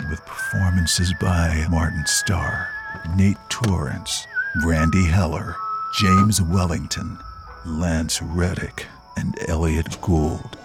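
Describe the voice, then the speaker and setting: A man's voice: deep voice, steady tone, slow pace, vocal fry. A man reading scripted end credits as an announcer. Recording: music bed behind.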